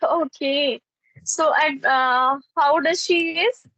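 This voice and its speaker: A woman with a noticeably high voice and a North Indian accent chats casually in English. She is a native Hindi speaker who uses simple, repetitive phrasing. Her delivery is unhurried, and the sound is dry and close. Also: fairly even intonation, sharp tone, dry recording, dull audio. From northern India, raised in Chandigarh. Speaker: English conversation teacher. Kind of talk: casual English practice conversation.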